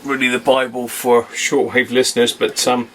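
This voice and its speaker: A man's voice: medium pitch, little pitch movement, moderate pace, fairly bright tone. A man giving a casual, off-the-cuff demonstration as a hobbyist host, hesitant and trailing off into muttered asides. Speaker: radio hobbyist, video host. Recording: home recording with faint background hiss.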